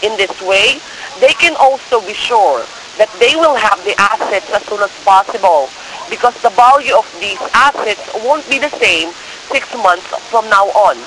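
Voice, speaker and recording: High-pitched salesy man on a noisy phone. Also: expressive, normal speed. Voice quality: non-native English phrasing. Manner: salesy, persistent, often checking the listener follows. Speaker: cold-call share scammer posing as broker. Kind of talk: telephone sales pitch, partly scripted. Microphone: telephone. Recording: noisy phone call with crackly hiss.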